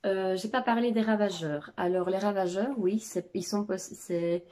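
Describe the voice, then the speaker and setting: A woman in her thirties with a cold and a nasal voice, chatting casually about her houseplants at home, pausing often with filler sounds. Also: mid-range voice, warm tone. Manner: hesitant, many filler sounds. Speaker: plant vlogger. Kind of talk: casual chat. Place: home living room.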